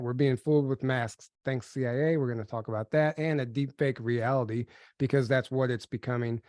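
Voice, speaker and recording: A man's voice: medium pitch, a little mellow, no room sound, studio quality. A man hosting a podcast webinar, chatty and upbeat, a little nasal and sniffly as he gets over a cold, reading slides aloud. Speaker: podcast co-host. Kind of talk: casual webinar presentation.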